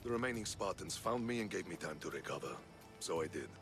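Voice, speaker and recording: An actor playing a proud, battle-worn Spartan soldier in a video game, terse and guarded. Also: male voice, deep voice, expressive, fast talker, music in the background. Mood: guarded, grim. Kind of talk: cinematic game acting.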